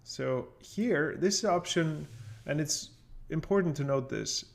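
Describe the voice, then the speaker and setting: A man narrating a software tutorial in a casual way, explaining things step by step as an instructor. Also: on the high side, animated, takes it slowly, vocal fry.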